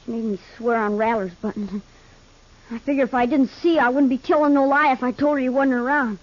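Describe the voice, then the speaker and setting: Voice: high-pitched, steady tone, a little fast, lightly acted. A performer playing a sullen, stubborn farm boy with a rural American Western accent in a 1950s radio Western, terse, defiant and grudging. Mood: reluctant, confiding. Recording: dull, muffled 1950s radio recording.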